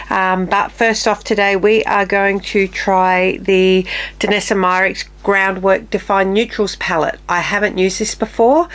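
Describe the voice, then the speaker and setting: A woman in her fifties, still a little nasal, chatting casually through a makeup tutorial with quiet murmured asides. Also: medium pitch. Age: 50s. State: slightly nasal, recovering from illness. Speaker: beauty vlogger.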